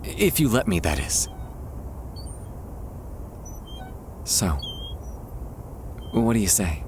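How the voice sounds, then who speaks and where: A man playing a shy, tender young man confessing love to a childhood friend, hesitant and soft-hearted, in an intimate ASMR roleplay. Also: lowish voice, sing-song, background noise. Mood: sheepish, tender. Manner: soft-hearted, hesitant, halting with nervous chuckles. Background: quiet park ambience.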